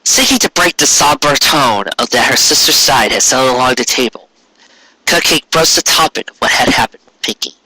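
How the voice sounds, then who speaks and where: A man's voice: mid-range voice, animated, fairly quick, sharp tone, husky, no room sound, rough recording. A man reading scripted story narration as an amateur audiobook narrator.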